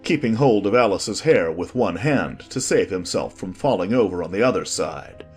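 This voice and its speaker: A man's voice: sits low, expressive, moderate pace, slightly rough, faint music behind. A man reading scripted storybook narration as the narrator of an audiobook.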